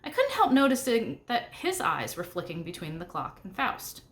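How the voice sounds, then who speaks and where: A woman's voice: medium pitch, wildly swooping pitch, normal speed. A woman reads a children's novel aloud in a mid-range voice. She narrates expressively in the first person, with a snarky, storytelling manner. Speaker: narrator reading a book aloud. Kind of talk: expressive middle grade audiobook narration.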